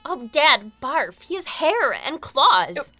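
A woman's voice: high voice, big pitch swings, slow pace, sharp tone, soft background music, heavily acted. A woman playing a distraught, self-conscious teenage monster daughter in a comedic audio drama, with a whiny, exasperated delivery. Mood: upset, whining.

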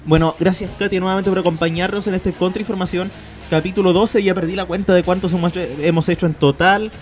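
A man's voice: high voice, steady tone, a little fast, faint music behind. A man with a Chilean Spanish accent hosting a radio show in a casual, chatty style.

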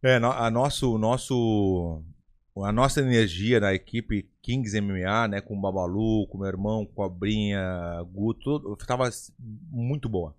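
A man's voice: fairly low pitch, measured pace. A man, a podcast host chatting casually, repeating his words and laughing often. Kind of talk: casual chat.

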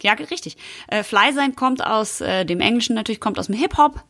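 A woman's voice: on the high side, expressive, brisk, a bit bright. A middle-aged woman hosting a podcast in casual chat, playful and now and then slipping into a mock rapper tone. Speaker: podcast host.

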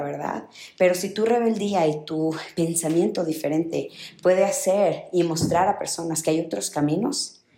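A woman's voice: mid-range voice, vocal fry, a little mellow, echoey room. A young woman from Ecuador giving an earnest motivational talk, repeating phrases for emphasis. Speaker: motivational speaker.

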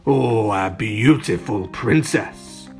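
A man voicing a wicked, covetous demon king in a children's storybook, hissing and gloating slowly. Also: lowish voice, lively intonation, a little mellow, faint music behind, pitched below the performer's own voice.

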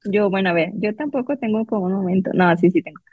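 A woman's voice: medium pitch, quick pace, husky, no echo, narrow, tinny audio. A woman telling a personal story in a casual, chatty way, often quoting herself. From Mexico. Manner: chatty, laughing, quotes herself and others. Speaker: guest telling her love story.

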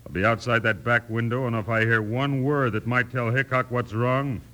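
Sharp, snarling western outlaw played by a man. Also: mid-range voice, steady tone, normal speed, close to an everyday voice. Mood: menacing. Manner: threatening, snarling. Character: menacing, hot-tempered western outlaw villain, adult male. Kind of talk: 1950s radio western acting.

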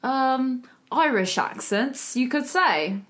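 A woman's voice: high voice, expressive, slow pace, a bit bright. A woman co-hosting a silly podcast chat, speaking in an affected fancy voice and giggling often. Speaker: podcast co-host.

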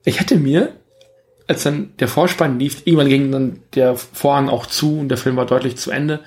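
A podcast co-host speaks. The delivery is casual film-review chat that rambles along, as if thinking out loud. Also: mid-range voice, steady tone, moderate pace.

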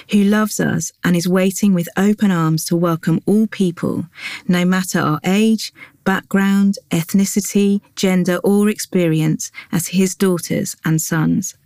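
A woman giving a calm, measured scripted devotional reading that moves into a guided prayer. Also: lowish voice, dry recording, studio quality. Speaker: devotional reader.

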